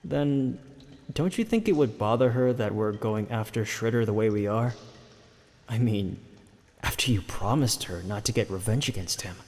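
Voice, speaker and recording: A man's voice: fairly low pitch, animated, normal speed, a little mellow, close to an everyday voice. A man playing a young turtle warrior and dutiful son in an audio drama, earnest, hesitant and respectful. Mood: earnest, searching. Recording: long reverberant hall echo.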